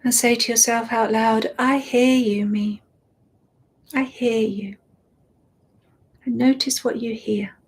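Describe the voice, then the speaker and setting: A woman guiding a meditation, speaking softly and soothingly and often dropping into a whisper. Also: a little high, steady tone, husky. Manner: slow, soft and soothing, drifting into whispers. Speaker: meditation guide. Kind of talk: guided meditation.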